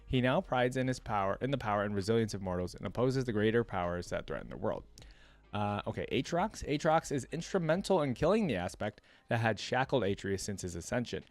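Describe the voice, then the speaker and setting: A man hosting a reaction video, reading aloud and adding informal asides as he thinks out loud. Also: on the low side, sing-song, brisk, dry recording. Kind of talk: casual commentary, reading wiki text aloud with asides.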